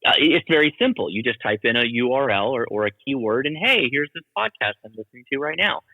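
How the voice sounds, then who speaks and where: A man's voice: mid-range voice, lively intonation, fast, dry recording, in a large hall, phone-quality sound. A man talking as a podcast guest and radio hobbyist, explaining things in a casual, chatty way.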